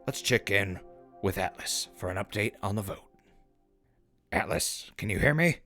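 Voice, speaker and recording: A man portrays an easygoing ghoul radio host in a post-apocalyptic drama. The voice sits low and rasps with fry. The patter is calm and friendly, over clearly audible music. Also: moderate pace, no echo, exaggerated voice. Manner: calm, affable, conversational.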